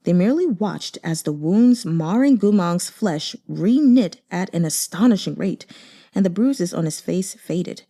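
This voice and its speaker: Mellow woman narrating fiction. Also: fairly low pitch, lively intonation, a little slow. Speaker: audiobook reader. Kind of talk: expressive scripted fiction narration.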